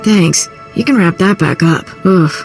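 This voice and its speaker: An actress playing a brash, sardonic, streetwise crime broker in a video game. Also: female voice, lowish voice, a little slow. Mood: amused, disgusted. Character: brash, sardonic female underworld fixer. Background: loud music.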